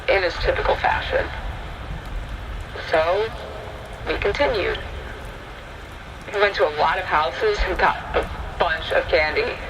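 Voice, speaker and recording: A man's voice: high voice, background noise. A man narrating a scripted first-person horror story. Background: rain and thunder ambience.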